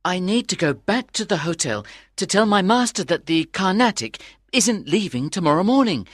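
An earnest, loyal manservant in a storybook dramatization, acted by a woman. The voice is husky and close, with a dry sound and a polite, slightly anxious, hesitant manner. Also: lowish voice, very animated, normal speed, no echo. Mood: hurried, polite. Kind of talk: dramatized audiobook acting.

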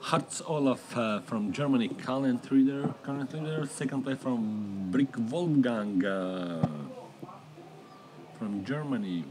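Slow, halting male commentator. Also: mid-range voice, darkish tone. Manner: halting non-native English with many fillers. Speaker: race commentator. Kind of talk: live sports commentary.

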